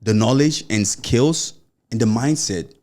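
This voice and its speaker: A man's voice: medium pitch, a little slow, darkish tone. A young adult man with a Nigerian accent and a mild stammer, hosting a solo motivational podcast in an earnest, encouraging way. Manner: earnest, encouraging, loose run-on phrasing with many "you know"s. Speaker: podcast host.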